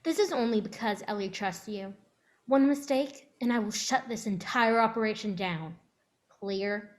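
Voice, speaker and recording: A woman's voice: medium pitch, normal speed, natural voice. A woman playing a protective mother in a dramatic script reading. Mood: stern, threatening. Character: protective, stern mother, sweet to her child.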